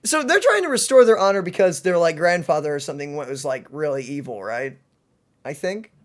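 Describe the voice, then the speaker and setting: A man's voice: on the high side, expressive, fairly quick. A man giving casual, playful let's play commentary, thinking aloud in an informal way.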